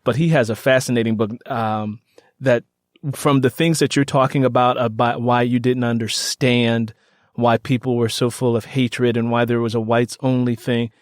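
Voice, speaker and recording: A man hosting a podcast interview, speaking conversationally with halting, repetitive phrasing. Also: mid-range voice, little pitch movement, no echo, studio quality. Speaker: podcast host.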